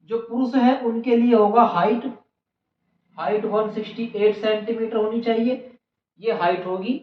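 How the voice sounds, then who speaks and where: A channel host giving an explainer on exam procedure, repeating key points for emphasis. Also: medium pitch. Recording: echoey room, dull lo-fi, faint noise.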